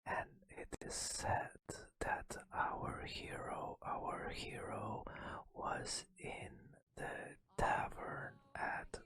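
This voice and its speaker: A man softly narrating a fantasy game adventure as ASMR storytelling, in a breathy whisper with deliberate, drawn-out phrasing. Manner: slow, deliberate phrasing with long pauses. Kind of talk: soft-spoken ASMR storytelling.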